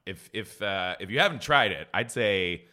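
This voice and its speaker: A man's voice: lowish voice, expressive, moderate pace, bright, forceful tone. A man co-hosting a casual podcast chat about cocktails, playful and wry, with long tangents and asides. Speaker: podcast co-host.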